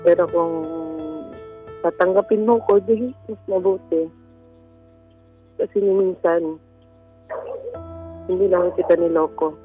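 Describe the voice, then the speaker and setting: A woman's voice: on the low side, fairly even intonation, slow, pauses often, phone-quality sound, faint music behind. A woman calling in to a radio advice show, confiding about her personal life in a hesitant, casual way.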